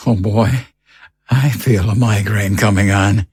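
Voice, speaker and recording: An actor playing a stressed, bewildered husband in an audio drama, stammering and exasperated. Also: male voice, on the low side, fairly even intonation, takes it slowly, a little mellow, slightly rough, heavily acted. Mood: incredulous, rattled.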